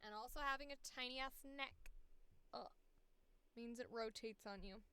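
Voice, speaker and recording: Bright, clear-voiced woman chatting casually. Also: fairly high pitch, unhurried. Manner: candid, sweary, self-deprecating. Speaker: streamer and voice actor. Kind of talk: casual self-talk while recording auditions.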